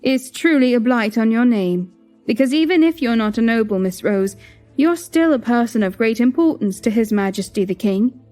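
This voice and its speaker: Woman playing an eager, high-voiced loyal maid. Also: animated, normal speed, dark tone, faint music behind, lightly acted. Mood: sympathetic. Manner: eager, emphatic, servile. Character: loyal, gossipy young maid, indignant for her mistress. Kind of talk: melodramatic audio drama acting.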